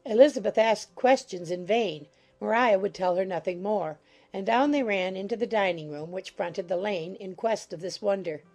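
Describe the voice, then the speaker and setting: A woman's voice: medium pitch, moderate pace, darkish tone, faint music behind. A woman narrating a classic novel as a scripted, literary audiobook reading.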